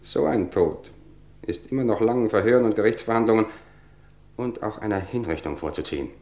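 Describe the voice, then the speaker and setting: Casting: male actor for a smug, cultivated poisoner, suave and mocking, measured delivery, vintage radio crime play. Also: lowish voice, darkish tone, close to an everyday voice. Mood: self-assured. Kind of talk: vintage German radio crime drama acting.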